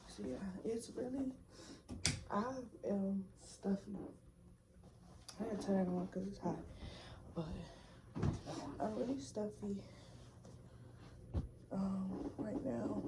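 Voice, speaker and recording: A young woman chats to her camera from her bedroom, easygoing and rambling, with plenty of slang and "um"s. Her voice sits at an ordinary middle pitch. A clear layer of background noise runs under the home recording. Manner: relaxed, rambling, slangy, lots of fillers. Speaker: vlogger. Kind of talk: casual chat to camera.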